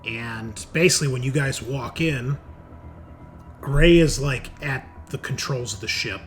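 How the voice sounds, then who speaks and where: A man improvising narration as game master of a tabletop game, often halting to search for words. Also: medium pitch. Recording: noisy room recording.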